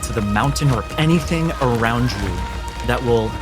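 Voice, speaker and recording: A man narrating a tabletop roleplaying game as game master, with dramatic, deliberate phrasing. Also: medium pitch, expressive. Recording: music behind the voice.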